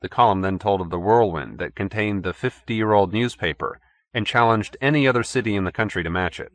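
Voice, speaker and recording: A man's voice: on the low side, a little fast, a bit bright. A man reading scripted fiction as an audiobook narrator.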